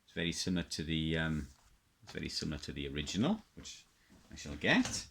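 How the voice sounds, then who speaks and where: Deep-voiced South Welsh man, rambling casually. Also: big pitch swings, a little fast, gravelly, a bit bright, a little hiss or hum. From Wales. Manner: hesitant, rambling, reminiscing. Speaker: radio hobbyist presenter. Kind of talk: casual unscripted show-and-tell.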